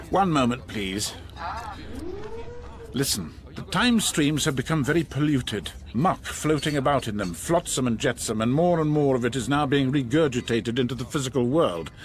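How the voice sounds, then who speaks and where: Man playing a grave, exasperated alien, animated pitch. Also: normal speed, faint music behind, close to an everyday voice. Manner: grave, fussy, long-suffering. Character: weary, pedantic, solemn alien planet-builder on a mission. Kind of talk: radio comedy drama.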